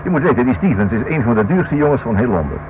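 A man's voice: medium pitch, sing-song, fast talker, lightly acted. An actor playing an inquisitive, stubborn married man in a radio play, thinking aloud and repeating himself. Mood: excited reasoning. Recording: noisy, dull old radio recording.

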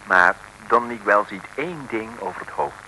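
A man's voice: lowish voice, expressive, normal speed, bright, forceful tone, poor audio. A man playing a wry, dramatic storyteller, narrating a mid-century comic radio serial. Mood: wry relish. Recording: hissy 1950s radio recording.

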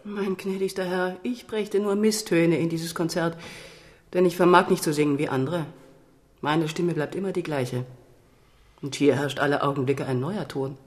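An actress playing a proud, principled young countess, formal, frank and self-assured, in a German radio drama. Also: female voice, low-pitched, steady tone, moderate pace, darkish tone, natural voice. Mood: cool irony.

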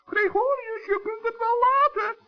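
A man voicing a small, earnest forest gnome in a 1960s children's radio play, squeaky, creaky and patiently hesitant. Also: steady tone, moderate pace. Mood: earnest, concerned. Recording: dull old radio recording with music.

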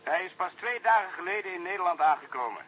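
A man's voice: sits high, expressive, fast, a bit bright, a little hiss or hum. An actor playing an eager, plain-spoken junior policeman reporting over the telephone in a radio play. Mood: dutiful reporting. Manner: matter-of-fact reporting, slightly folksy.

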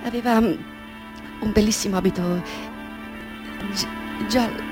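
A woman playing an older mother in a radio drama monologue, wistful and halting as she remembers, questioning and correcting herself. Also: medium pitch, fairly even intonation, lightly acted. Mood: confused, searching. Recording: music under the voice.

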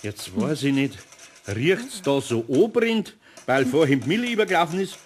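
A man's voice: fairly high pitch, very animated, moderate pace, a little hiss or hum. A man playing a grumbling but good-natured older craftsman with a Bavarian accent in a radio play. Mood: dismayed. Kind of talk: German radio play acting.

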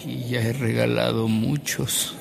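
An actor playing a devout, earnest older man in a Mexican radio drama, speaking solemnly and deliberately with dramatic pauses. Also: mid-range voice, flat delivery, gravelly, noisy background. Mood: earnest, moved. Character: frail, devout older man, a converted skeptic, reverent and earnest.

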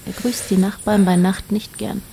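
A woman narrating a literary radio play in a measured, matter-of-fact way. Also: medium pitch, little pitch movement, vocal fry, dark tone, a little hiss or hum, natural voice.

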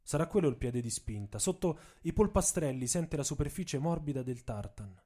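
A man's voice: medium pitch, expressive, normal speed, warm tone. A man narrating radio theatre as a dramatic scripted story, with a theatrical, intense delivery.

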